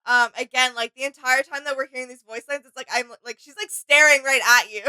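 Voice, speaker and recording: A woman co-hosting a casual reaction video, talking in an admiring, halting, conversational way. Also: high-pitched, sharp tone, smooth, no echo, rough recording.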